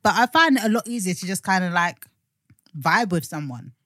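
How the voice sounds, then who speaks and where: A woman's voice: lowish voice, expressive, fairly quick, fairly bright tone, dry recording, clean studio sound. Blunt, bantering woman. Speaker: podcast co-host. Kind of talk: casual podcast chat.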